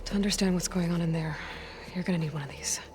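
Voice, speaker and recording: A woman playing a blunt, guarded young outlander machine hunter in a video game, plain-spoken and firm, at times hushed. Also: on the low side, fast, background noise, natural voice. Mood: low, steady. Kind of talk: naturalistic video game acting. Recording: music behind the voice.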